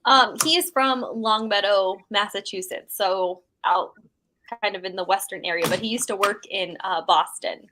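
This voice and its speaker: A woman co-hosting a casual live stream, relaxed and prompting her partner with short replies. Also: mid-range voice, normal speed, slight background noise, low-quality recording.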